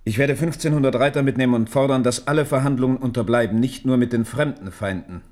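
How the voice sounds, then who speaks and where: An actor playing a stern, hard-headed royal minister in a German radio play, speaking in a blunt, urgent and formal way. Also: male voice, medium pitch, fast talker, darkish tone, lightly acted. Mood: forceful, determined.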